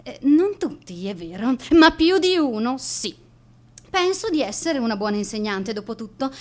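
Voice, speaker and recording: A woman's voice: fairly high pitch, big pitch swings, normal speed. An actress in a radio monologue playing a strict, spiteful schoolteacher, sarcastic and given to answering her own questions. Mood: smug, sardonic. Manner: sarcastic, asking and answering herself. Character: bitter, spiteful, strict female schoolteacher, obsessed and vindictive. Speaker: radio drama actor.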